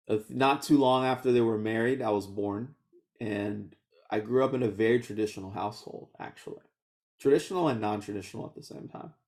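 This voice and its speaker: A man in his thirties, born in Houston and raised speaking Vietnamese first, recounts his childhood in a loose, conversational interview. His voice sits a little low with a noticeable gravelly fry, and he laughs easily and backs up to restart his sentences. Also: darkish tone. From Houston, Texas. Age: 30s. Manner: laughs often, many restarts and fillers. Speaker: oral history interviewee. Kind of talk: relaxed conversational storytelling.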